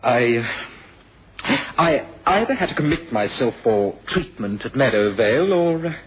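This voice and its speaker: A man's voice: mid-range voice, lively intonation, measured pace, husky. An actor playing a defensive, bitter young man in a radio mystery, with a theatrical, sarcastic and indignant manner. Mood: bitter, sardonic. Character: defensive, bitter, sardonic young man, murder victim's son. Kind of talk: 1970s radio mystery drama acting. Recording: muffled old radio recording.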